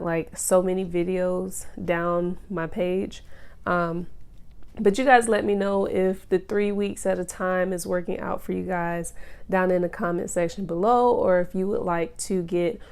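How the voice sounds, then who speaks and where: A woman's voice: fairly low pitch, darkish tone, smooth. A woman vlogging casually about her pregnancy, explaining things step by step in a chatty way, sounding a little nasal from a cold. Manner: chatty, explaining step by step, many ums and you-knows. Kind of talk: casual chat to camera.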